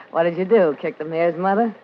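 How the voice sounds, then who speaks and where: A woman's voice: fairly low pitch, a little hiss or hum, natural voice. An actress playing a brazen, scheming, slangy underworld woman in an old-time radio crime drama. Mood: mocking. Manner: brassy, defiant, slangy. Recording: dull lo-fi old radio recording with music.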